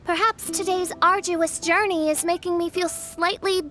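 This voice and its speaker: A woman's voice: very high voice, steady tone, moderate pace, fairly bright tone, soft background music. A woman playing a haughty, theatrical young princess in a video game, with a grandiose, archaic manner. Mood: gloomy, curt.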